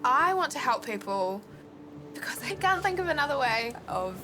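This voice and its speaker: A woman's voice: high-pitched, big pitch swings, normal speed. A young woman in her twenties with a New Zealand accent, giving a personal introduction. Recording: loud music under the voice. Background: loud music.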